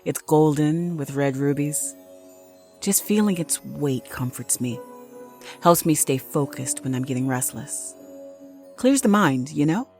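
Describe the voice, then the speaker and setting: A woman playing a solitary, unflappable night nurse, narrating an audio drama in a measured, confiding way. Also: low-pitched, expressive, a little mellow, soft background music. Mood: pensive, composed. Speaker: actress, narrator. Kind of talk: first-person scripted audio drama monologue.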